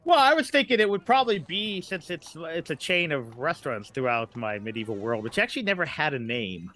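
A middle-aged man in casual podcast chat as a guest, rambling haltingly and repeating words as he explains. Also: a little high, very animated, a bit bright.

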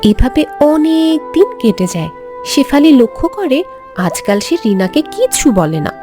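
A woman's voice: high-pitched, big pitch swings, moderate pace, music in the background. A woman giving scripted narration for a cartoon story.

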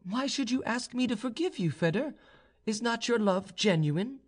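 A haughty young noblewoman from a storybook romance, acted out by a male reader. The voice is lifted high and moves with lively pitch, deliberate and imperious in every phrase. It sounds proud, composed and used to being obeyed. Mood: proud, probing. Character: proud, haughty young woman, coolly commanding in love. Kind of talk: audiobook character dialogue.

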